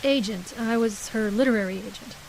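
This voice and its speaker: An actress playing a brisk, defensive, skeptical literary agent in an audio horror drama, with dry, guarded delivery. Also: female voice, on the high side, darkish tone, a little hiss or hum, close to an everyday voice. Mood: guarded, brittle.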